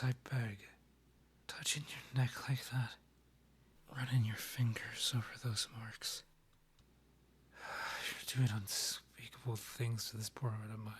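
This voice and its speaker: A man acting a breathy, lovestruck vampire king. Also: mid-range voice. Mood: pleading, tempted. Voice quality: breathy, half-whispered. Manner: formal, courtly phrasing, slow pleading delivery. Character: lovestruck male vampire king, courtly, yearning, tender suitor. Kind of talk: romantic ASMR audio roleplay.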